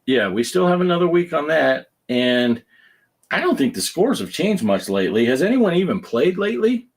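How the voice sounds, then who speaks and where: A man's voice: on the high side, big pitch swings, normal speed. A man in his fifties hosting a casual gaming podcast, telling stories with lots of laughs and acting out quoted lines. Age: 50s. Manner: chatty storyteller who laughs often and acts out quoted lines. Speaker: podcast host. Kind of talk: casual chat.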